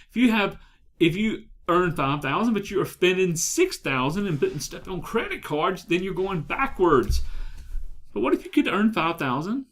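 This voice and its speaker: A man's voice: high voice, a bit bright. A man giving a motivational talk as a coach, emphatic, repeating key phrases and asking the listener direct questions. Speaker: life and business coach.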